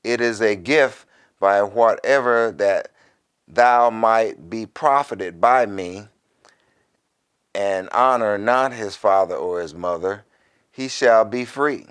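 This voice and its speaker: Slow-speaking, clear-voiced male minister. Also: lowish voice. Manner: deliberate, preacherly, with quieter conversational asides. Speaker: minister, Bible teacher. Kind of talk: scripture reading with informal sermon commentary.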